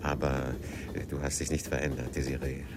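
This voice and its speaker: An actor playing an evasive, courtly middle-aged newlywed husband in a radio drama, speaking formally and a little haltingly. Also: male voice, rumbling low, level tone, very noisy. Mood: polite, uneasy. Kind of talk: radio drama dialogue and confessional narration.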